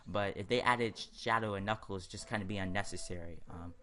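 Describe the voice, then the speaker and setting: Guy doing a chill lets play, kinda low but bright punchy voice, rambles w lots of opinions. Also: a little fast. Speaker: host of a gaming video series. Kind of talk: casual let's-play commentary.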